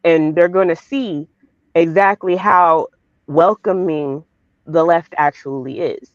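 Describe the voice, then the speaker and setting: A man's voice: fairly high pitch, slow pace, no room sound. A man in a casual political discussion, arguing in long run-on stretches with a sarcastic edge. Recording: dull, dry lo-fi audio.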